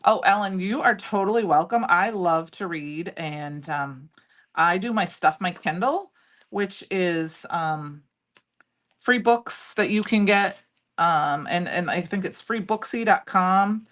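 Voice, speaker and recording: A middle-aged woman with a loud voice, chatting and rambling as she demonstrates a craft project live and answers viewers by name. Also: lowish voice, slow. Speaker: crafting livestream host. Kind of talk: casual chat while demonstrating crafts.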